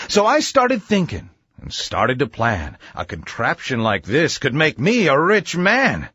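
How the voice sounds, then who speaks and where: A man's voice: fairly high pitch, fairly bright tone, husky, dry recording, studio quality. A man narrating a rhyming children's story in a theatrical, sing-song verse delivery.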